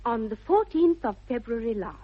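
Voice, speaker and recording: A woman's voice: a little high, expressive, normal speed, slightly rough. An actress with a British accent playing a dreamy, headstrong young Victorian lady in a 1960s radio comedy, with precise, affected, earnest delivery. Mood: dreamily romantic. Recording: dull vintage 1960s radio recording.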